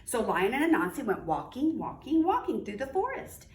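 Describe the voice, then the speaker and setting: A woman reading a children's story aloud in an expressive way, with dramatic pauses and drawn-out key words. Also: a little high. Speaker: library storyteller reading a picture book to children. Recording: roomy, distant mic with faint noise.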